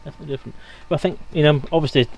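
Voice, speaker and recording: A man's voice: on the high side, rapid-fire, a little mellow, low-quality recording. A man hosting a livestream in casual, chatty, friendly banter with guests. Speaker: stream host. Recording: clear background noise.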